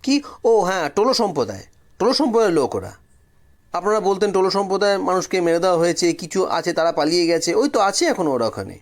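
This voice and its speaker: A man's voice: high voice, sing-song, fast. A man narrating a story dramatically in the first person, as a storyteller.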